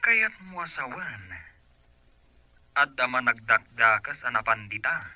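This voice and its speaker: A very forceful, piercing male voice for a suspicious relative in an Ilocano radio drama. He interrogates and mocks in an Ilocano accent, recorded dry and close. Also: medium pitch, sing-song, a little slow, no echo, lo-fi sound, exaggerated voice. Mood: suspicious, taunting. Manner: mocking, confrontational questioning. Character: suspicious, taunting male relative phoning the boss's house.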